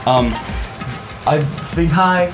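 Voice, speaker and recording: A man's voice: a little high, extremely slow, rough recording. Rambling man chatting through a game. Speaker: video game let's player. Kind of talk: casual game commentary with a friend. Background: game music.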